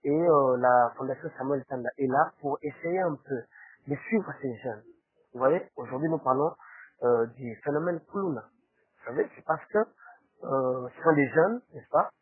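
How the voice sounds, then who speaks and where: A husky-voiced man with a Congolese accent answers as a spokesman. He speaks formally but hesitantly, often tagging his phrases with small questions. The recording is tinny and narrow, like a phone line. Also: a little high, normal speed. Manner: hesitant, with frequent tag questions. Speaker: interview guest, foundation spokesman. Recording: roomy, tinny phone-like sound.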